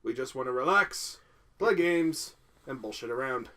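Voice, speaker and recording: A man's voice: medium pitch, expressive, normal speed, pushed and bright. A young man co-hosting a casual podcast, joking around and swearing freely. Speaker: podcast co-host. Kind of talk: casual chat.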